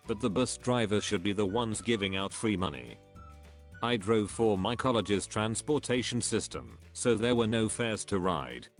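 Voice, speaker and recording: Male synthetic narrator for reading online comments: lowish voice, evenly phrased, faint music bed. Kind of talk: scripted read-aloud narration.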